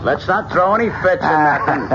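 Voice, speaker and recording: A streetwise cabbie in old radio drama, fairly high-voiced and brusque. He talks in blunt slang like he has had enough. The sound is muffled, with clear noise behind. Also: expressive, normal speed. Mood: irritated, brusque. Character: gruff, fed-up male city cab driver. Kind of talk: 1970s radio drama acting. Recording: dull old radio broadcast, background noise.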